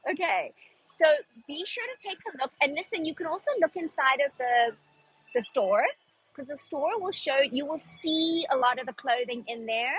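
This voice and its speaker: A woman's voice: sits high, animated, normal speed, a bit bright, poor audio. A middle-aged woman with a South African accent, a stylist and designer chatting casually and upbeat with viewers on a live shopping stream. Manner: chatty, upbeat, frequent laughs and restarts.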